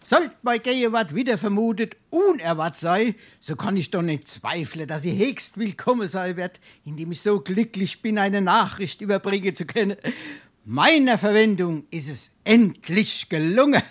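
High-voiced, pompous Hessian scrounger. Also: male voice, expressive, normal speed. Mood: unctuous flattery. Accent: Darmstadt Hessian. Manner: pompous, flowery, mock-courteous. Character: wily, shameless male scrounger and bon vivant. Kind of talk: dialect stage comedy acting.

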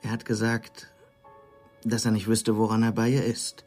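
A man's voice: lowish voice, completely flat delivery, moderate pace, a little mellow, faint music behind, natural voice. A man acting in a radio drama as a casual friend passing on rumours in an offhand, conversational way. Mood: confiding.

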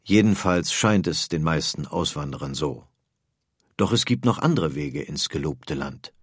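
A man narrating a scripted history documentary in a steady, informative way. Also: rumbling low, measured pace, slightly rough, dry recording, studio quality.

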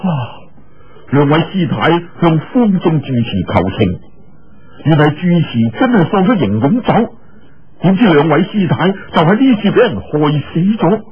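A male storyteller with a Cantonese accent and a slightly husky voice narrates in a colloquial, dramatic way. His pitch moves around in a lively manner at an unhurried pace. The recording is a little dull. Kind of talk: animated oral storytelling of a martial arts novel. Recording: dull, lo-fi audio.

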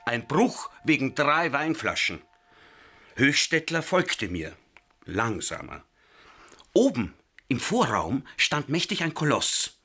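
An actor playing a wry, exasperated old burglar in a German radio crime drama, narrating and speaking in dialogue. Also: male voice, medium pitch, big pitch swings, slow, long pauses, bright, forceful tone, husky, full character voice. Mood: tense, annoyed. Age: 70s. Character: grumbling old burglar recounting a night break-in.

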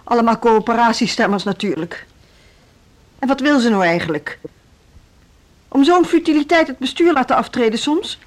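Actress as an indignant, high-voiced school leader. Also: female voice, expressive, moderate pace, long pauses, natural voice. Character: indignant, stubborn woman music school leader, dismissive and suspicious. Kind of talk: Dutch radio play acting.

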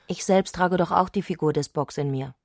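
A woman's voice: on the low side, normal speed, dry recording, clean studio sound. A fervent, visionary woman, closely recorded. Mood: exalted, insistent. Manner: insistent, declarative, visionary. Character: Clarisse, fervent, eccentric woman obsessed with madness. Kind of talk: literary radio drama acting.

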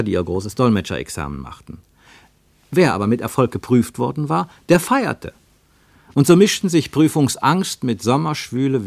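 Male narrator, lowish voice, measured descriptive radio drama reading, dry studio. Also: creaky, no room sound. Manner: measured, descriptive, building long lists.

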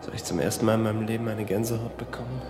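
An actor playing a sceptical, uneasy gentleman on an eerie night watch in a radio drama. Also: on the low side, fairly even intonation, rapid-fire, close to an everyday voice. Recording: loud music behind the voice.

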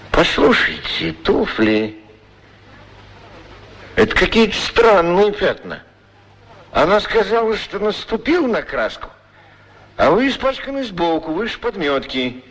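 An actor playing a gentle, musing shoe shiner in a radio play, talking haltingly and repeating his words to himself. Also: on the high side, big pitch swings, creaky, exaggerated voice, rough recording. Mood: curious, probing. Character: gentle, musing male shoe shiner who chats with shoes. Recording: 1960s radio drama recording.

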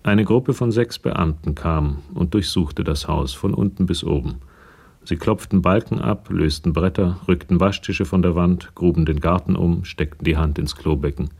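A man's voice: sits low, normal speed, gravelly, darkish tone, husky, full character voice. A man narrating a police crime radio drama from a script. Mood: measured.

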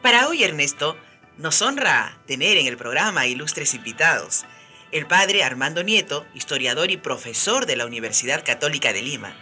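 A woman radio host with a very bright, forceful voice and lively, swinging intonation. She presents from a script in an animated, projected way, with music playing behind her. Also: sits low, normal speed.

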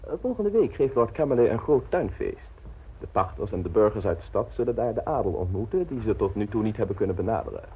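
An actor in a radio play voicing a suave, scheming gentleman with a polished, ironic, wordy manner. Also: fairly low pitch, sing-song, fast talker, slightly rough, heavily acted, low-quality recording. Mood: confident. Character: suave scheming gentleman political fixer, urbane and teasing.

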